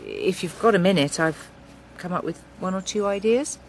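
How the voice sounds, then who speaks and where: An actor playing a hesitant, conciliatory man with an English accent in a naturalistic radio drama, halting and tentative. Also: high voice, a little hiss or hum. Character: hesitant, conciliatory farming son negotiating with his sister.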